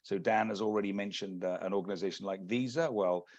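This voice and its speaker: British male presenter, measured and businesslike, low voice, lo-fi call sound. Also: a little mellow. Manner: measured, businesslike, many restarts. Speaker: executive search partner. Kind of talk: webinar presentation. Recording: lo-fi video call audio.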